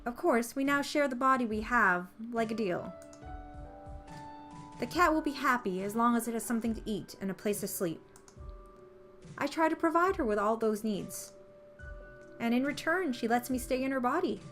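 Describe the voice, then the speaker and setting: Woman putting on a high-pitched, proud witch girl. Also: expressive, normal speed, pauses often, a bit bright, soft background music. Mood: shy, explaining. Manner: indignant, arrogant, easily flustered. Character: hot-tempered, proud teenage witch girl, secretly shy and kind. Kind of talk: visual novel character read aloud.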